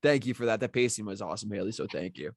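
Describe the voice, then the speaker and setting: A man's voice: lowish voice, animated, very fast, darkish tone. A man at a table read chatting casually, effusive and appreciative with the others. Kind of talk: casual chat.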